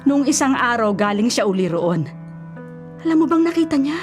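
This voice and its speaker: Radio drama mother: Filipino, older, worried and nagging, lively pitch swings, light music underneath. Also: normal speed. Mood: reproachful, worried. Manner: fussing, nagging, expressive. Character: older worried, caring mother of a grown son.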